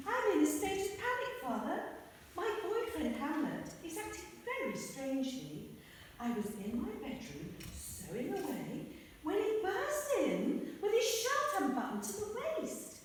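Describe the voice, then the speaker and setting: A woman's voice: very high-pitched, huge pitch swings, measured pace, recorded from a distance, a little hiss or hum, heavily acted. A woman playing a naive, sweet young woman in an amateur comic radio play, recorded in an echoey hall from afar. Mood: flustered. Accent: northern English put on. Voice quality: chatty, innocent.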